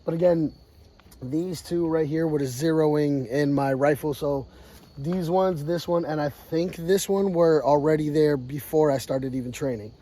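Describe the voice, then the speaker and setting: A man who is sick and hoarse, talking casually and bluntly to camera outdoors at a shooting range about training. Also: a little high, normal speed, warm tone. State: sick, losing his voice. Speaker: firearms training vlogger.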